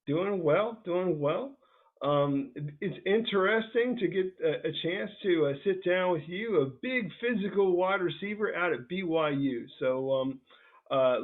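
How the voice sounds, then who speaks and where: Over a thin, phone-quality connection, a man with a fairly high voice hosts a sports interview. He speaks casually and conversationally, feeling his way through questions in an informal, halting manner. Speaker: interviewer and football scout. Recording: thin, narrowband phone-like call sound.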